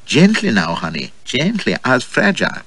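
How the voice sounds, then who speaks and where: A man's voice: mid-range voice, wildly swooping pitch, moderate pace, fairly bright tone, dry recording, voice pushed up, full character voice. A man doing a coy, giggly flirt. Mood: coy flirtatious. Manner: coquettish, cooing, teasing.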